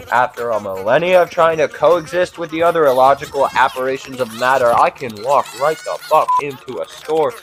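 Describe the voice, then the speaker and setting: A man's voice: on the high side, a bit bright, rough recording. A young man delivering a scripted absurdist comic monologue in a theatrical, sarcastic, exasperated way, swearing freely like a mock stand-up host. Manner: theatrical, profane, exasperated sarcasm with rhetorical questions.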